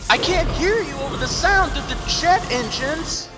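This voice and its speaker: Man as cheeky teenage boy sidekick, very high voice, taunting, motion comic, music behind. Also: expressive, normal speed.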